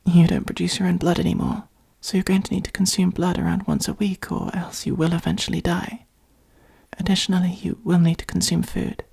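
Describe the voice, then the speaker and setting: A gentle, protective vampire played by a woman speaks in a soft, husky whisper, thick with vocal fry. The tone is mellow and patient, soothing and reassuring in the manner of a comforting ASMR roleplay. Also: fairly low pitch, animated, brisk, exaggerated voice. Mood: patient explaining. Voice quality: breathy, creaky. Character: gentle, protective adult vampire caring for a fledgling.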